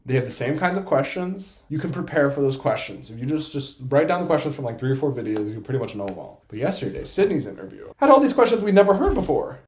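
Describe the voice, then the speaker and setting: A young man chatting casually to camera as a vlogger, playful and self-deprecating in an excitable way. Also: a little high, fast, hardly any pauses, reverberant. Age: young adult. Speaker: vlogger and college program applicant.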